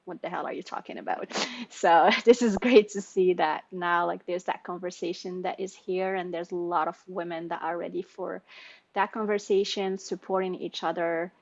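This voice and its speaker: A woman in her forties from Morocco, a business owner and leadership coach, introducing herself in an online circle, animated and effusive with laughs between phrases. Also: fairly low pitch, moderate pace, creaky, muffled recording. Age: 40s. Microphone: video call.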